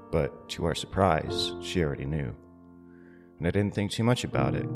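Zombie drama lead played by a man: polite, low-voiced male survivor, plain-spoken narrator and character, music underneath. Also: close to an everyday voice. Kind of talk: audio drama narration and dialogue.

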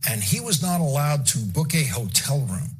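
A man narrating a news report in a measured, pointed delivery. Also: mid-range voice, sing-song, husky, faint music behind, rough recording.

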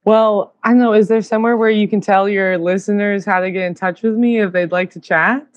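A woman guest in casual conversation, eager and talking in quick bursts with plenty of fillers. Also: mid-range voice, fairly even intonation, dry recording, highs cut off. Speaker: podcast guest. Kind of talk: casual chat.

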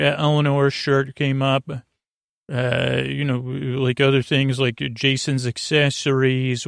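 A man hosting a bedtime podcast in a meandering, halting monologue that keeps interrupting itself, with a gently absurd, whimsical turn. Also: mid-range voice, vocal fry, no room sound, clean studio sound. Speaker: podcast host.

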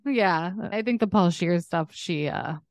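A woman's voice: fairly low pitch, moderate pace, no echo. A young woman co-hosting a podcast in casual comedic chat, with a candid, wry, joking manner. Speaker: podcast co-host.

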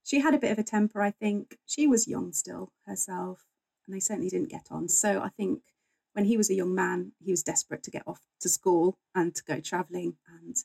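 A woman's voice: mid-range voice, a little fast, gravelly, darkish tone, husky, no echo, poor audio. A woman speaking as a guest historian in a conversational interview, explaining things in a chatty, informal way.

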